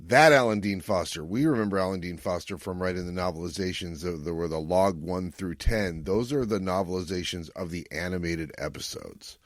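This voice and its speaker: A man's voice: sits low, a little fast, hardly any pauses, no room sound, clean studio sound. A man hosting a podcast in casual chat, explaining things in a rambling, self-correcting way. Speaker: podcast host.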